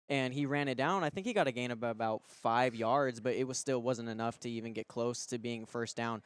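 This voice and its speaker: A man co-commentates on live sports radio in a conversational way. His voice sits at a medium pitch. The recording is dry, with very little room sound. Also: no echo.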